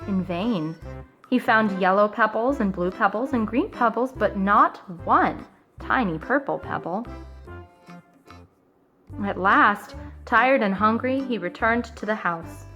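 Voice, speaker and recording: A woman in her thirties reading a children's story aloud, expressive, with dramatic pauses. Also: medium pitch, lo-fi sound, faint music behind. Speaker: storybook reader. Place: living room sofa for the introduction.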